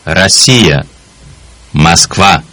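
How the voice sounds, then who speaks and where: A man speaking single words slowly and deliberately as a model for learners. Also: sits low, lively intonation, pushed and bright, no room sound. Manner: deliberate, articulated word by word. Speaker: native speaker on a language course recording. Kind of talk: slow, careful model pronunciation.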